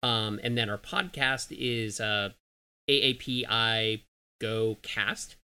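A man answering interview questions in an upbeat, relaxed way. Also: on the low side, takes it slowly, clear, resonant tone. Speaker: guest, gun group member.

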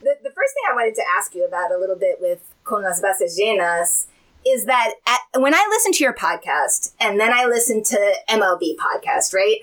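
A woman's voice: mid-range voice, very animated, normal speed, a bit bright, dry recording, poor audio. A woman co-hosting a podcast, playful and chatty as she interviews a guest. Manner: playful, chatty, laughs often. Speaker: podcast co-host. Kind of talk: casual interview chat.

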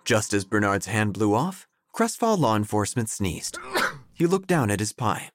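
An actor playing an earnest, wordy obituary writer who narrates an audio drama in a deliberate, deadpan way. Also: male voice, fairly low pitch. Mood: deadpan, florid. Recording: faint music behind.

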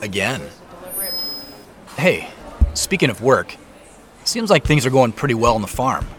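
A man's voice: mid-range voice, sing-song, measured pace, a bit bright. A man acting in a naturalistic audio drama as a cautious, uneasy professional with a hesitant, questioning manner. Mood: probing, casual.

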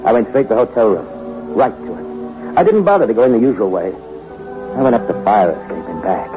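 A man's voice: on the low side, very animated, brisk. A dull, old radio recording with prominent music behind a hardboiled hoodlum. He is bitter and vengeful, talking in tough slang in the manner of 1960s crime drama. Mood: hard, brooding. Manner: tough slangy wisecracking delivery. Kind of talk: 1960s radio crime drama acting with first-person narration.

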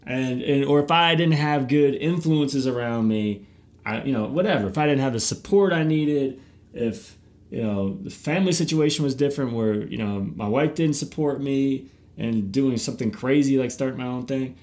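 Lively, chatty man on a podcast. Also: mid-range voice, moderate pace. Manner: animated, laughs easily, repeats words when starting. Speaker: podcast host and youth baseball coach. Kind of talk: casual podcast conversation.

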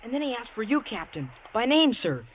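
An earnest young boy in a 1950s radio play. His high voice moves in lively swings, polite and deferential yet nervous and hesitant. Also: brisk, a little hiss or hum, full character voice. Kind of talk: 1950s radio drama acting.

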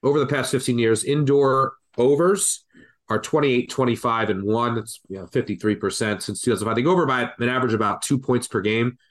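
A man's voice: mid-range voice, brisk. A man with a congested, stuffed-up voice from the flu, rambling through college football betting picks as a podcast co-host. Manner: rambling, thinks aloud with restarts. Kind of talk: casual betting analysis chat.

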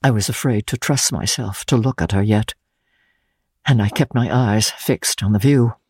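A woman narrating a classic novel in the first person, reading dramatically in a measured, grave manner with weighted pauses. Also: rumbling low, a little mellow, breathy edge, dry recording, clean studio sound. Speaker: audiobook narrator.

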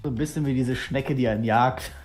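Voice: medium pitch, brisk, darkish tone, soft background music. A player in a tabletop roleplaying session, joking and teasing the others and laughing often. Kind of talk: casual tabletop roleplay chat.

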